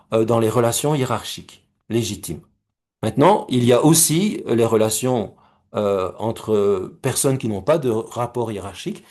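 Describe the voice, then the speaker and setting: Middle-aged male speaker, husky, mid-pitched, patient explainer of a method. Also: a little mellow. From Belgium and Rwanda. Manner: measured, explanatory, uses homely comparisons and questions to listeners. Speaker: speaker presenting his conflict-resolution method. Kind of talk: recorded talk.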